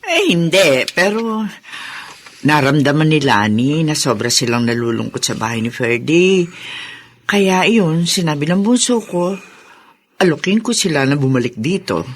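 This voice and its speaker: A woman's voice: low-pitched, sing-song, a little slow, pauses often, exaggerated voice. A woman playing a loving, middle-aged mother in a Filipino radio drama, tender and earnest with her grown children. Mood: earnest, fond.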